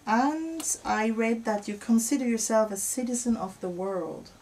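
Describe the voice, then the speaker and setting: Friendly radio host woman, slightly high. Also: expressive, a little slow, a little mellow, recorded from a distance, a little hiss or hum, low-quality recording. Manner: friendly, hesitant questions with frequent encouraging backchannels. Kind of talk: radio interview questions. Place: radio studio.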